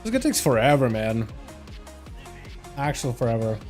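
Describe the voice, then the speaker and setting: A man's voice: medium pitch, big pitch swings, moderate pace, a little mellow, faint music behind. A young man with a slight Russian accent, a streamer chatting casually while gaming, rambling and filling his talk with "like". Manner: rambling, chatty, answers chat questions, frequent "like" and laughs.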